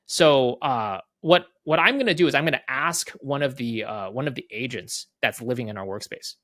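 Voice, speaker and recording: A man casually walking through a software demo off the cuff, conversational, often checking in with tag questions and voicing little exchanges. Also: mid-range voice, moderate pace, no room sound, clean studio sound. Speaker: guest product leader giving a software demo. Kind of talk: casual off-the-cuff product walkthrough.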